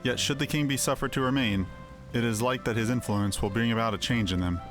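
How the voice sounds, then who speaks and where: A male actor plays a grave fantasy game elder with a mid-pitched voice. His diction is archaic and measured, formal and earnest, with music playing faintly behind him. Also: close to an everyday voice. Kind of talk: scripted fantasy video game dialogue.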